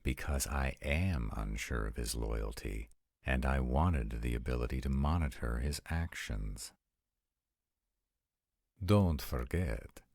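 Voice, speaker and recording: A man playing a formal, commanding vampire nobleman in a roleplay audio drama, with a gravelly, husky voice and deliberate phrasing. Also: very deep voice, a little mellow, no room sound, clean studio sound, exaggerated voice. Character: commanding, arrogant male vampire nobles in tense parley.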